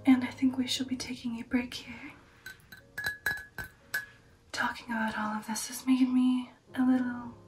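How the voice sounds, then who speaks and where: A woman voicing a refined, affectionate female vampire in an intimate ASMR roleplay, with a breathy whisper and a genteel manner. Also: fairly high pitch, far from the mic, close to an everyday voice. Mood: sentimental, tender. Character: refined, affectionate female vampire recounting an old shipboard romance. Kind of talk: ASMR roleplay, personal attention.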